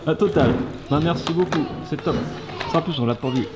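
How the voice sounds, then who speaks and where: A woman's voice: sits low, a little fast, heavy background noise. A woman hosting a casual vlog, upbeat and encouraging. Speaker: vlog host. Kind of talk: casual chat. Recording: loud music behind.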